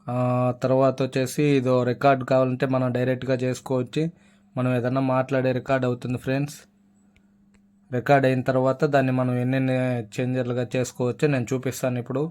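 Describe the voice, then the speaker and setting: A man's voice: mid-range voice, completely flat delivery, fast, darkish tone. A man hosting a casual app tutorial, addressing listeners as friends. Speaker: YouTube app tutorial host.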